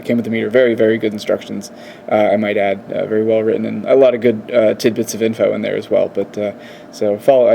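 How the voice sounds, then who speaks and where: A man talks through a hands-on equipment test in a relaxed, mellow voice. His pitch stays fairly flat, and his explanations come haltingly. Faint noise sits behind him in an indoor room. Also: vocal fry. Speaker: presenter of a radio equipment test. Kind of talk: casual hands-on demonstration. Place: indoors at a wooden workbench. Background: faint background noise.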